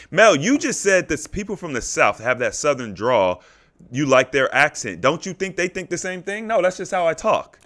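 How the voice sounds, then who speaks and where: A man co-hosting a casual podcast, low-key but insistent when arguing a point. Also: big pitch swings, measured pace, a bit bright. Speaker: podcast co-host.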